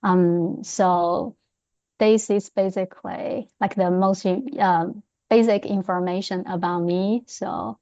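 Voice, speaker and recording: A woman from China with a Chinese accent, answering interview questions conversationally, pausing to think as she goes. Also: on the low side, fairly even intonation, mellow, highs cut off. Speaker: interview guest, history professor.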